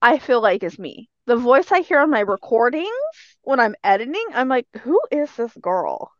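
Woman, higher-pitched, casual podcast banter, storytelling manner, close dry sound, muffled lo-fi audio. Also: big pitch swings, moderate pace, no room sound. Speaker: podcast co-host. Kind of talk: casual chat.

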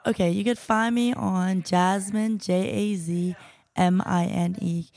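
A woman's voice: mid-range voice, fairly even intonation, gravelly, darkish tone. A woman guest from the United States answers interview questions in an easygoing, giggly way. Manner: easygoing, giggly, speaks slowly when spelling things out. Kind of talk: casual interview chat.

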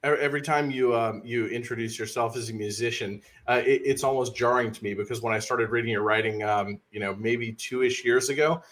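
Hesitant man co-host, mid-pitched voice. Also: steady tone, fairly quick, few pauses, a bit bright. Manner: hesitant, many restarts and chuckles. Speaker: podcast co-host. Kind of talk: podcast conversation.

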